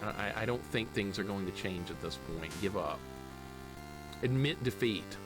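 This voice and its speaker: A man's voice: fairly low pitch, normal speed, rough recording. An American man in his forties from the southern US, chatting casually with viewers and grumbling in sweary, joking exasperation as he plays a video game. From southern United States. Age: 40s. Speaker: live streamer playing a video game. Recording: game music behind the voice. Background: retro video game music.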